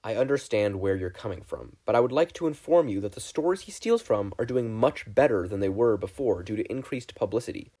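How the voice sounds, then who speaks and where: A man voicing a tired, calm male teacher with a lazy drawl in a story reading. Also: on the low side, fairly quick, hardly any pauses, husky. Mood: weary, calm.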